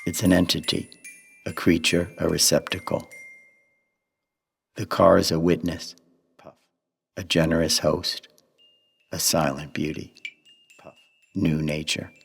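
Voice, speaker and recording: A man narrating a poetic radio play in measured, sparse phrases. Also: low voice, level tone, vocal fry, breathy edge, long reverb tail.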